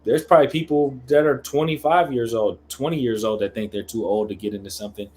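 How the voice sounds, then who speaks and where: A man's voice: mid-range voice, quick pace, darkish tone, a little hiss or hum. A man in his late thirties speaking as a podcast guest in casual conversation, explaining his views in a reflective way. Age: late 30s. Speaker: podcast guest, hybrid athlete.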